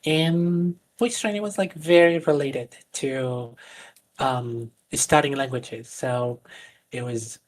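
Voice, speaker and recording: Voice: medium pitch, fairly even intonation, slow pace. A voice coach who grew up speaking Spanish teaches a class over a live stream. The delivery is earnest and explanatory, full of small fillers and restarts. A faint background hiss sits under the mid-range voice.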